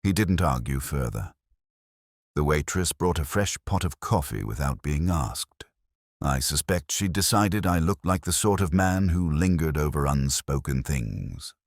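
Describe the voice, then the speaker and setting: Guy doing a really low world-weary detective narrating his case, solemn n wry, swoopy pitch, dry close sound. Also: animated, unhurried, dry recording, full character voice. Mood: brooding, reflective. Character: brooding, world-weary, literary English police detective. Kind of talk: scripted first-person detective story narration.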